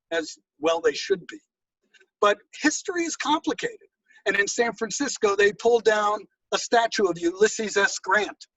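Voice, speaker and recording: A man reading his own poetry and introducing it, with a measured, deliberate delivery. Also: high-pitched, pushed and bright, no echo, low-quality recording. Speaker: poet reading own work.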